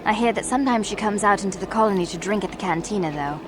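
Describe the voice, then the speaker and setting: An actress playing an uncertain young Sith Academy hopeful in a video game, plain-spoken and a little resigned. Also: female voice, mid-range voice, quick pace, noisy background, close to an everyday voice. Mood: helpful, unsure.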